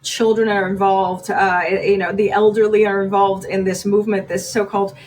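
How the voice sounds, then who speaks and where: A woman's voice: medium pitch, little pitch movement, moderate pace, darkish tone, far from the mic, a little hiss or hum. A woman in her thirties, born in Iran, speaking earnestly and with strong feeling as a podcast guest in a studio. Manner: earnest, emotional, gestures as she talks. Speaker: TV news anchor, guest. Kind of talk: candid podcast conversation. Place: podcast studio with decorated shelves. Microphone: large studio mic on boom.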